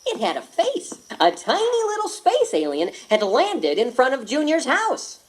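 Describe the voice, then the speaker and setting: Expressive woman reading a storybook. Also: high-pitched, unhurried. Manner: expressive storytelling, voicing every character. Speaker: storybook narrator. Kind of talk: scripted read-along narration. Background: background music.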